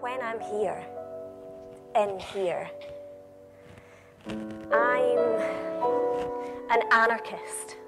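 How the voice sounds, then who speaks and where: A woman's voice: fairly high pitch, extremely slow, vocal fry, long pauses, heavily acted. A woman performing a defiant anarchist rebel in a scripted political theatre show, with clipped, rhythmic delivery. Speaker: stage performer. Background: music.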